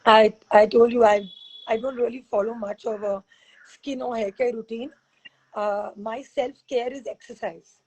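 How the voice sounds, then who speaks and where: A woman hosting a casual interview over a video call, chatty and rambling, her voice husky from teaching back-to-back classes. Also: fairly high pitch, fairly even intonation, a little slow, a little mellow, rough recording. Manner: chatty, rambling, laughs often. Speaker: fitness expert and host.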